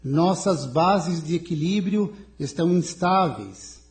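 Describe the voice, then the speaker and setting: A man playing a solemn, benevolent leader of an alien council in an audio drama, speaking in a measured, formal way. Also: fairly high pitch, animated, a little mellow. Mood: solemn, concerned.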